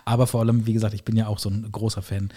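A man's voice: lowish voice, level tone, racing, dark tone, husky. A man hosting an interview podcast in a conversational style, effusive and given to emphatic repetition. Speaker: podcast host. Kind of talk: warm-toned conversational interview and sign-off. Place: indoor studio, in person with guest.

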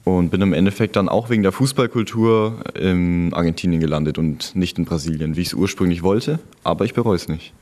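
A man's voice: deep voice, fairly quick, few pauses, darkish tone. A young man from Germany chatting casually as an interview guest, telling of his own experiences. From Germany, born in Frankfurt and living in Franconia. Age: young adult. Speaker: interview guest, returned volunteer abroad. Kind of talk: casual conversational storytelling.

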